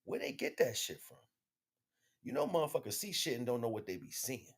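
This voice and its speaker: A man's voice: medium pitch, huge pitch swings, a little fast, slightly rough. An American man giving a casual spiritual monologue as a livestream host, in slangy, profane, conversational speech.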